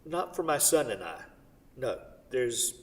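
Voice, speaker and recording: A man acts out a wealthy father who wants to control things and is full of regret. He speaks in a mid-pitched voice as if in a staged drama. The sound is distant, with the long echo of a hall. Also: big pitch swings, measured pace. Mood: wounded, resigned. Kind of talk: staged play reading. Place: theatre hall. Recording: distant mic in echoey hall.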